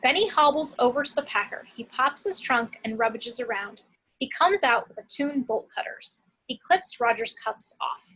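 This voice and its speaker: Woman narrator, crisp bright tone, higher pitch, scripted action description, amateur table read. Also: animated, moderate pace, low-quality recording.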